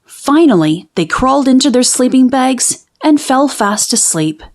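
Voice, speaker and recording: A woman reading a children's picture book aloud in an expressive, narrated storytelling style. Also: high voice, a little slow.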